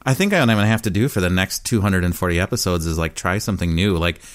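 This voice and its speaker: A man's voice: deep voice, expressive, fast talker. A man co-hosting a podcast, chatting casually with a playful, joking manner. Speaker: podcast co-host. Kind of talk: casual chat. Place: home basement.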